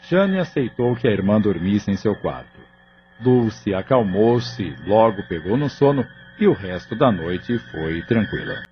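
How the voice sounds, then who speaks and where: Low-voiced male narrator, dull sound. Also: sing-song, measured pace. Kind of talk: scripted narration of an audio novel. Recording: dull lo-fi audio with music underneath.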